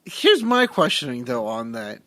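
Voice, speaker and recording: A man's voice: mid-range voice, very animated, a little slow, pushed and bright, no room sound, clean studio sound. A man co-hosting a podcast, asking questions and chiming in during a casual movie chat. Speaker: podcast co-host.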